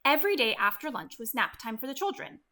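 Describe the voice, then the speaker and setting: A woman narrating a scripted read-along for children as an expressive storyteller. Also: sits high, fast.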